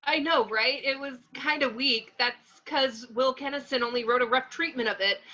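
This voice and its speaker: A woman's voice: high voice, normal speed, sharp tone, dull audio. A woman playing a melodramatic, indignant young actress in an amateur table reading of a screenplay. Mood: dismissive. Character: panicky, melodramatic young actress, a bickering drama queen.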